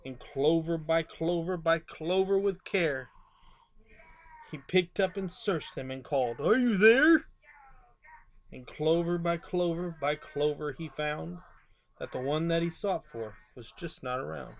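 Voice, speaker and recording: A storyteller giving an expressive children's book read-aloud, with casual asides. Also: medium pitch, unhurried.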